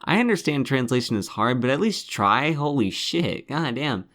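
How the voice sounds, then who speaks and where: A man's voice: medium pitch, big pitch swings, normal speed, a bit bright. A man delivering scripted game review commentary in a scornful, sardonic manner, swearing freely.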